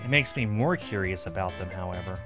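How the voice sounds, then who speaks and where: A man's voice: low-pitched, lively intonation, brisk, fairly bright tone, soft background music. A man streaming a game and narrating its visual novel text aloud.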